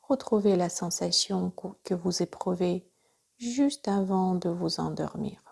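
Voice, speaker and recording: Female yoga nidra guide: soft, breathy near-whisper, dark warm tone, unhurried measured delivery of calm instructions. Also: on the low side. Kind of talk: guided relaxation meditation.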